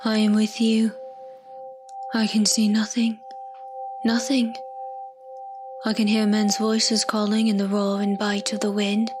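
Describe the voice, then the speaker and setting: An actress in an audio drama playing an entranced woman, speaking in a hushed, trance-like way. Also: medium pitch, completely flat delivery, over music, natural voice. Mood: uneasy, entranced. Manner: slow, hushed, trance-like delivery.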